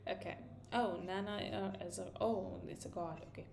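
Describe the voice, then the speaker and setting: A woman's voice: mid-range voice, steady tone, normal speed, gravelly, darkish tone, a little hiss or hum. A young woman from India with an Indian accent, casually thinking aloud as she walks through a coding tutorial, with fillers and self-corrections. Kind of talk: screen-recorded coding tutorial.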